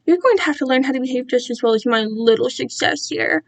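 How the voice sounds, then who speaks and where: Lady doing a creepy sweet kidnapper guy from a story, coos, talks down, high voice. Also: fast talker, creaky. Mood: smug, patronizing. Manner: cooing, patronizing. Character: condescending, sickly-sweet male captor, patronizing and controlling.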